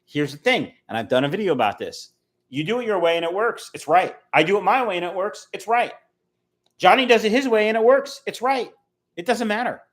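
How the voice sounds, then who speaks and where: Animated fifty-something man chatting live. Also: sits high, a little fast. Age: 50s. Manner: chatty, rambling, answers viewer comments aloud. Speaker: fishkeeping livestream host reading chat. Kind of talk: casual live chat.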